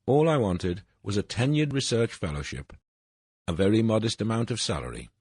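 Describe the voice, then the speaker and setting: Older man, very low husky voice, lively rise and fall, dry close sound, formal measured reading. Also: no echo. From Wales. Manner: measured, formal reading with falling phrase ends. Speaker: essay narrator. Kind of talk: reading an autobiographical essay.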